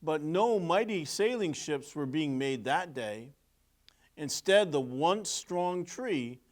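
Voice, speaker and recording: A man reads a children's tale in a fairly high voice that rises and falls expressively. His pace is slow and deliberate, with emphatic phrasing and clear pauses between short phrases. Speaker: clergyman reading a children's story. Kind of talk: expressive storybook read-aloud with asides on pictures.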